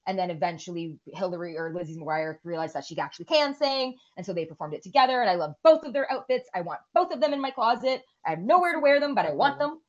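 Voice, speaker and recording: A woman's voice: medium pitch, expressive, fast, few pauses, muffled recording. A woman in her forties, co-hosting a podcast and chatting casually, rambling and swearing freely. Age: 40s. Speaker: podcast co-host. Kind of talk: casual chat.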